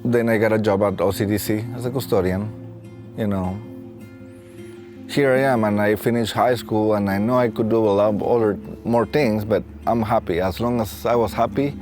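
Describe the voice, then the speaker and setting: A man in his late thirties with a Mexican accent, telling his own story in a halting, reflective way. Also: fairly low pitch, steady tone. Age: late 30s. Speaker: facilities and safety technician and staff trainer. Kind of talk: reflective personal storytelling for a training film. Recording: soft plucked guitar music behind. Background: guitar music.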